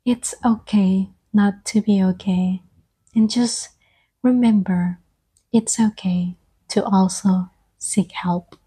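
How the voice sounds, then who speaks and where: A woman speaks softly in a slow, soothing ASMR meditation style. Her voice is mellow and breathy, often thinning toward a whisper. She leaves long, gentle pauses between short phrases. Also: medium pitch, steady tone, poor audio. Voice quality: soft-spoken, breathy, often slipping into whisper. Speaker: ASMR guide and host.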